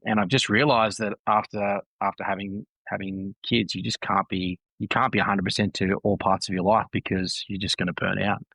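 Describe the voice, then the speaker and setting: A man's voice: fairly low pitch, flat delivery, brisk, creaky, hardly any pauses, fairly bright tone, no echo, low-quality recording. A man in his thirties, a guest on a casual podcast, talking earnestly and reflectively and often repeating phrases. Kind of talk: casual conversation.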